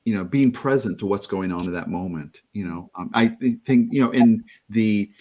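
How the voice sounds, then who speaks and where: A man co-hosting a talk show, speaking in a reflective, halting way with frequent restarts. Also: fairly low pitch, dark tone. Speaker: co-host.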